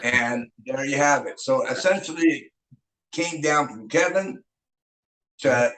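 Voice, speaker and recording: A man's voice: mid-range voice, measured pace, a bit bright. A man, a rock band's singer and guitarist, telling stories from his past in a loose, rambling way, with many fillers and restarts. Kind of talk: casual interview storytelling. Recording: echoey room.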